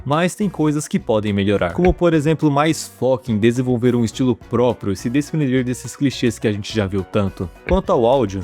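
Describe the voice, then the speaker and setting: A man's voice: mid-range voice, darkish tone. A Brazilian man giving a casual, scripted review commentary as a video host, in an informal, slangy and wry manner. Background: background music.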